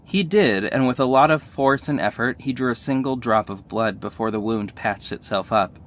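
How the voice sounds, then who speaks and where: A man's voice: mid-range voice, moderate pace, fairly bright tone, a little hiss or hum, lightly acted. A man playing an earnest, emotional young superhero, telling his story in dramatic first-person audio drama narration. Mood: steady, intrigued.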